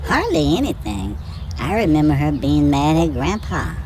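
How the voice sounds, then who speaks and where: A woman's voice: sits low, very animated, moderate pace, darkish tone. A woman playing a curious, caring young son in a hobby audio drama, earnest and full of questions. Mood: thoughtful.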